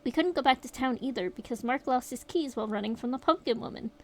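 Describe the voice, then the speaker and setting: A woman voicing a shaken young survivor, reading the account aloud in a steady, rueful way. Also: on the high side, fast, natural voice. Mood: grim retelling. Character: shaken young survivor recounting a horror night.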